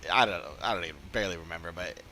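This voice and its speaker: A man chatting casually as a game streamer, rambling and halting as he talks. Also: low voice, pushed and bright, poor audio. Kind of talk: casual livestream chat. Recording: game music behind the voice. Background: video game music.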